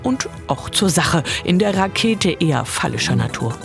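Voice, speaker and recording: Lively female culture reporter. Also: on the low side, moderate pace, creaky, over music. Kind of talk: scripted voice-over narration.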